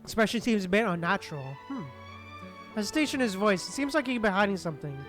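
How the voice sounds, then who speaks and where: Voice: mid-range voice, lively intonation, fast talker, fairly bright tone. Casual video game streamer, chatty playthrough commentary, reading dialogue out, game music underneath.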